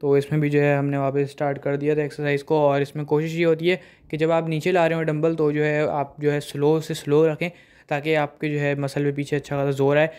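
Young guy talking over gym clips, kinda high voice, smooth, keeps it flat. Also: a little fast. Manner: steady recap, heavy fillers. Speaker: gym vlogger narrating his workout. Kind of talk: voice-over narration of workout footage.

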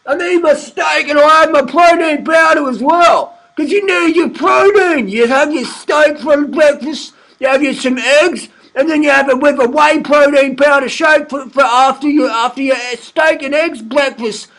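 A man with an Australian accent playing a brash, defensive meathead bogan in a sweary, shouting comedy rant. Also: extremely high pitch, normal speed, hardly any pauses, low-quality recording. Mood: insistent. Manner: shouting, swearing ranting monologue. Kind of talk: comedy character monologue.

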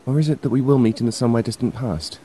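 Man playing a rapid, rambling eccentric time traveller. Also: medium pitch, dark tone, slight background noise, close to an everyday voice. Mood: breezy. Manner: rambling, wordy. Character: chatty, eccentric, polite time-travelling gentleman, easily sidetracked. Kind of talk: audio drama acting.

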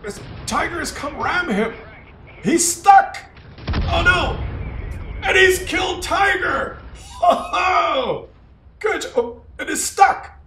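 A man voicing an excitable, mocking game commentator who speaks broken English in a put-on foreign accent. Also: extremely high pitch, unhurried, full character voice. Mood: excited, mocking. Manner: broken grammar, exclaiming and gloating. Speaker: host. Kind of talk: comic commentary on a game replay. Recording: roomy, distant mic with faint noise.

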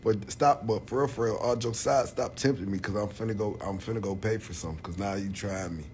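Brief: young man in his 20s, low-voiced, crude jokester chatting to viewers from bed, phone mic close, faint room hum behind. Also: fast, a little mellow. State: tired, late at night.

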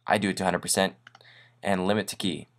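Low-pitched man, casual tutorial host. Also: fairly even intonation, fairly quick. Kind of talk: casual screencast software tutorial. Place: voice-over on a screen recording.

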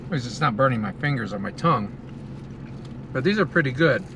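A man's voice: medium pitch, lively intonation, fairly quick. A middle-aged American man giving a casual, savoring food review to camera, talking between bites inside a car. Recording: car cabin with clear ambient noise.

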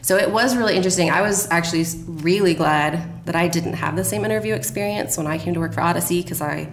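Low, creaky-voiced woman in a roomy space. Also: fast talker. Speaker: podcast guest, radio drama producer. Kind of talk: conversational interview. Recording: roomy echo, faint noise.

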